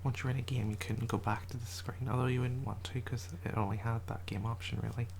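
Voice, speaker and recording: An ASMR creator in a quiet house, whispering a soft, rambling show and tell and often correcting themselves, voice a little rough from a cold. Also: mid-range voice, flat delivery, fast, slight background noise. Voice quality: whispered, soft-spoken delivery. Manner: rambling, self-correcting, chatty.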